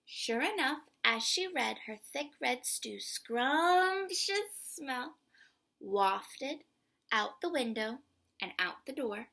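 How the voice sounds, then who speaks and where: A woman storyteller reading a picture book aloud expressively, drawing out words and dropping into hushed asides to the listener. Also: high-pitched, animated, slow, gravelly. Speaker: children's storyteller.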